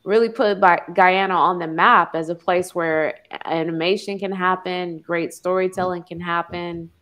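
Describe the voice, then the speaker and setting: A woman's voice: fairly low pitch, steady tone, moderate pace. A woman chatting on a video call panel, friendly with plenty of fillers. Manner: warm, chatty, many fillers. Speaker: panel guest.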